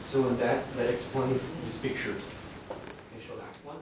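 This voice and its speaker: A man's voice: mid-range voice, fairly even intonation, a little slow. A man talks through a scientific idea, explaining it step by step and leaning on "you know". His voice sounds far from the microphone in a very echoey room, with a faint hum of ambience behind him. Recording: distant echoey room recording.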